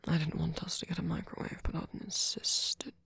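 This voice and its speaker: A sweet, doting vampire boyfriend, acted out by a performer, speaks in a very breathy half-whisper. He is gentle and attentive, halting and rambling softly through an ASMR comfort roleplay. The sound is close and dry, with a creaky edge. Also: medium pitch, little pitch movement, dry recording, heavily acted. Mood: tender, fussing. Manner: hesitant, halting, rambling, with soft filler murmurs.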